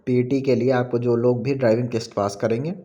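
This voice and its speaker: A man with an Indian accent giving an informal explainer, reading out an official notice haltingly and commenting on it as he switches between Hindi and English. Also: mid-range voice, little pitch movement, warm tone, highs cut off. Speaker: exam information presenter.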